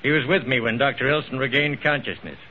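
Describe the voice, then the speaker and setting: An actor playing a firm, matter-of-fact, authoritative sea captain in old-time radio drama style. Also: male voice, mid-range voice, fast, lightly acted. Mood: stern. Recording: dull, hissy old radio recording.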